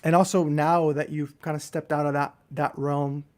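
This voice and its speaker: A man hosting a casual interview, speaking reflectively and haltingly, searching for words in an intellectual way and repeating himself. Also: fairly high pitch, flat delivery, darkish tone. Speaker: interviewer and host.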